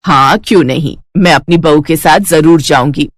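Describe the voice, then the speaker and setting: A woman plays an older mother-in-law in a cartoon family tale. The voice sits low and is recorded close and dry. The manner is tender and reassuring, that of a supportive elder. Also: moderate pace, no echo. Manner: affectionate, reassuring. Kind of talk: Hindi cartoon story acting.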